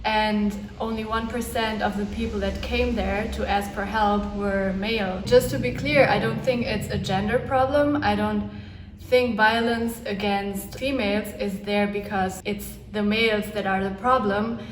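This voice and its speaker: A woman's voice: medium pitch, steady tone, unhurried. A woman from Germany answering questions in an interview. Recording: echoey room, faint background noise.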